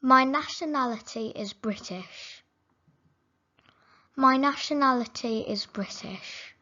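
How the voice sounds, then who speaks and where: A woman reading simple English phrases for learners, saying each sentence slowly and clearly, then repeating it. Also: high voice, pushed and bright, highs cut off. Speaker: English teacher.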